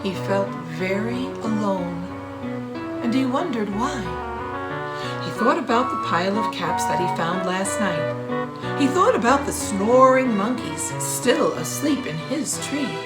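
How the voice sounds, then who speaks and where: A woman reading a children's storybook aloud in an expressive storyteller's way, with deliberate pauses. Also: mid-range voice. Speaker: author reading own storybook. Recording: roomy echo, loud guitar music. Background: guitar music.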